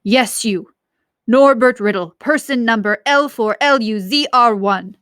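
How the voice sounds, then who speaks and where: A woman voicing a creepy, pompous male dictator in a storybook, commanding and deliberate. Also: a little high, fairly bright tone, clear, resonant tone, no room sound, studio quality. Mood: stern.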